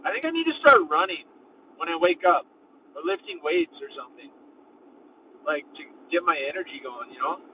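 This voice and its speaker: Rambling high-voiced man vlogging from his van. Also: expressive, moderate pace, long pauses. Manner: rambling, heavy use of "like". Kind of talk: casual road-trip vlogging. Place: inside a van while driving. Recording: thin phone-like sound from a distant mic in a car. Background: faint road and engine noise.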